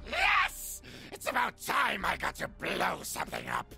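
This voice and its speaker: Piercing, gravelly manic insect robot. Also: medium pitch, wildly swooping pitch, a little slow, sharp tone, full character voice. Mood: gleeful. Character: manic insect robot eager to blow things up. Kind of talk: video game character acting. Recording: music behind the voice.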